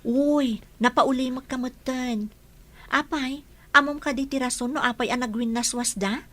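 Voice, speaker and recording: A woman's voice: sits high, steady tone, unhurried, fairly bright tone. An actress playing a nosy young female coworker, chatty and inquisitive in a radio drama. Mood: curious.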